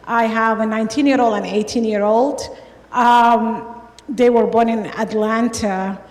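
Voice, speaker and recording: A woman's voice: fairly high pitch, fairly even intonation, unhurried. A woman panelist introducing herself in a chatty, joking way, laughing often, on a stage in a university hall. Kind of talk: casual self-introduction. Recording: echoey hall.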